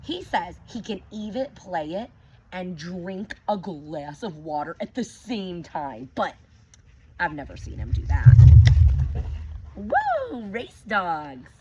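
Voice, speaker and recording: A woman teacher reading a picture book aloud to children, gently stopping to ask them questions. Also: lowish voice, wildly swooping pitch, takes it slowly. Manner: gentle, asks children questions between pages. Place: outdoors with her dogs. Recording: dull lo-fi recording with clear background noise.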